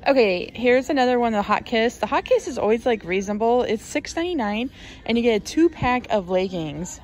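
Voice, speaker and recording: A woman's voice: a little high, animated, fairly quick, a little mellow. Chatty American woman shopping vlogger. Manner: chatty, informal, hedging with "like". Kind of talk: casual shop-with-me narration.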